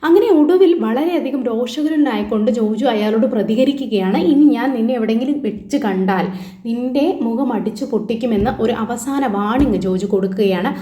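A woman commentator speaks in a fairly high voice. She talks informally to her viewers, explaining and commenting on a news story, and the room around her is noticeably echoey. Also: fairly quick, dark tone. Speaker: YouTube commentator and host. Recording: echoey, roomy voice-over.

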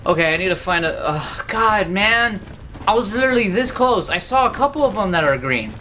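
A man in his twenties doing loud, profane let's-play commentary in his bedroom, his voice going hoarse. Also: high-pitched, expressive, brisk, a little hiss or hum. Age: 20s. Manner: loud, profane, yelling at the game. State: losing his voice. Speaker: gamer. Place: bedroom, indoors.

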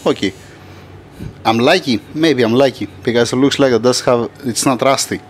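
A man's voice: medium pitch, normal speed. Greek-native male mechanic, mid-range voice, talks himself through the work, faint air-con hum. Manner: thinks aloud, restarts often, non-native phrasing. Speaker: mechanic filming his engine rebuild. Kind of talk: casual workshop narration. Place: indoor engine workshop. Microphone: handheld camera.

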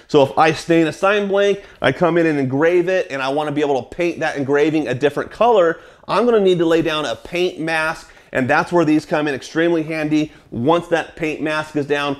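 A man's voice: fairly high pitch, moderate pace, hardly any pauses. An American man in his forties hosting a woodworking vlog in his small workshop, energetically recommending tools with frequent "uh" fillers. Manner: energetic, salesy, many "uh" fillers, gestures with products. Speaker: woodworking channel host. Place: small wood workshop indoors.

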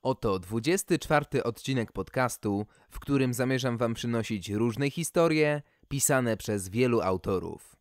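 A man narrates a storybook tale at an unhurried pace. His delivery is theatrical and folksy, in a mid-range voice, recorded very dry. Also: medium pitch, lively intonation, no room sound. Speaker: podcast host and story reader.

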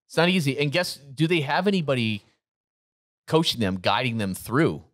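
A man's voice: mid-range voice, moderate pace, fairly bright tone, dry recording. A man in his forties co-hosting a business podcast, earnest and motivational, repeating his points, on a studio mic in a gym. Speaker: podcast co-host. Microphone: studio mic on boom arm, headphones.

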